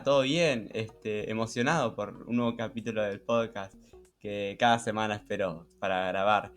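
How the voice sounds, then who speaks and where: A young man with a Rioplatense accent talks casually as a podcast co-host. His voice is on the low side and his delivery is reflective, repeating words as he searches for the next. Soft music runs underneath. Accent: Rioplatense Spanish. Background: background music.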